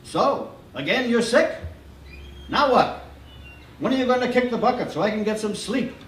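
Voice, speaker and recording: A man's voice: very high voice, lively intonation, moderate pace, fairly bright tone, voice pushed up. A man voicing a brusque, teasing male doctor who fires off mock-grumpy jokes in a storybook reading. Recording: roomy distant live-reading sound.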